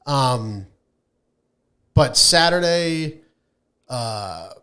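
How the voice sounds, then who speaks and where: A man's voice: medium pitch, animated, drawn-out words, pushed and bright, clear, resonant tone. A man co-hosting a podcast, chatting casually and bluntly with plenty of swearing. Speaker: podcast co-host. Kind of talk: casual chat.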